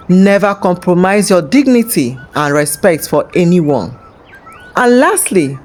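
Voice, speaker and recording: A woman narrating a scripted folktale as host, in a measured, dramatic storytelling manner. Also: medium pitch, darkish tone.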